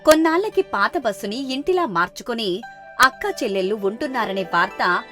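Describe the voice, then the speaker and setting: A woman's voice: on the high side, lively intonation, a little fast, sharp tone, soft background music. A woman storyteller reading a scripted children's moral story.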